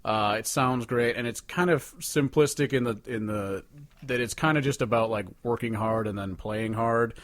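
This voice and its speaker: A man's voice: medium pitch, moderate pace, no room sound. A man co-hosting a podcast, chatting casually about songs in a rambling, profane way. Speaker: podcast co-host reviewing songs. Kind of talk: casual chat.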